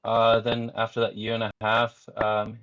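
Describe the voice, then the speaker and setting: A man in his forties from Denmark, a podcast guest telling his life story in a relaxed, meandering way. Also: fairly low pitch, a little slow, no echo, lo-fi sound. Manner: frequent fillers and restarts, easygoing.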